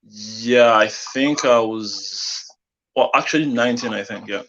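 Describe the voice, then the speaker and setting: A young man in his twenties from Rwanda, answering interview questions in a relaxed, hesitant way. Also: lowish voice, measured pace, lo-fi sound. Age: 20s. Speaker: guest, film and music director.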